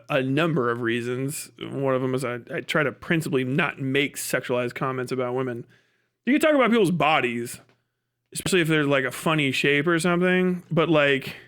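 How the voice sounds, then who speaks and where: A man casually chatting and reacting on a livestream, with halting, slangy, profane speech. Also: on the high side, a bit bright, clear, resonant tone. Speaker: livestream host.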